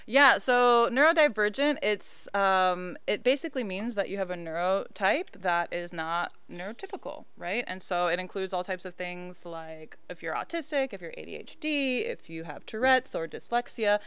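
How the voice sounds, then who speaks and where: A woman's voice: medium pitch, moderate pace, few pauses, a bit bright, smooth, dry recording. A young adult woman, a podcast guest in casual conversation, who often lilts into singing her words. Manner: often sings her words in a playful lilt.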